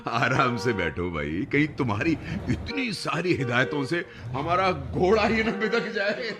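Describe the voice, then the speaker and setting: Radio play: genial male joker, lively swooping pitch, unhurried, strings loud underneath. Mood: jovial. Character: genial, teasing, easygoing man. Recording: loud plucked-string music behind.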